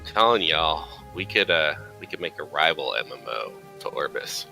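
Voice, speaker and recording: A man's voice: very deep voice, expressive, normal speed, gravelly, bright, forceful tone. A man in a casual gaming voice chat, laid-back, his phrases often trailing off. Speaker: streamer's gaming friend. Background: music.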